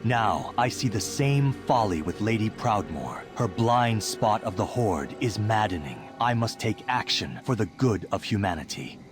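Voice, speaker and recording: Man playing a self-righteous, lowish-voiced scheming chamberlain. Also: expressive, unhurried, hardly any pauses, over music. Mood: bitter resolve. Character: scheming, bitter human chamberlain and adviser. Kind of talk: fantasy game cutscene monologue acting.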